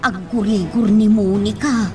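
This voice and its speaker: A woman's voice: medium pitch, fairly even intonation, measured pace, music in the background, natural voice. A woman with an Ilocano accent playing a dutiful, reassuring household helper in a radio drama. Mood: dutiful, soothing.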